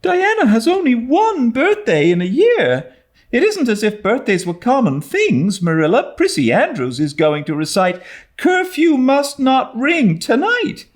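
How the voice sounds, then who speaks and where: Man as a young girl, very high pitch, wildly swooping intonation, effusive, dramatic, eager, storybook character. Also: wildly swooping pitch, unhurried, heavily acted. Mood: pleading, mournful. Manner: effusive, dramatic, breathless pleading. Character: excitable, pleading, talkative young girl.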